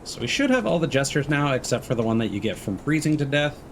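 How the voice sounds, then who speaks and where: A man's voice: medium pitch, sing-song, fast talker, slight background noise. A man livestreaming a video game, chatting informally with viewers in a laid-back, friendly way. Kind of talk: casual let's play commentary, chatting with viewers.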